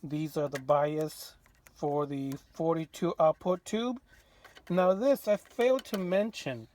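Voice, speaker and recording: A man presenting a casual hands-on walkthrough as a radio restorer, informal and halting as he thinks aloud. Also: fairly high pitch, darkish tone.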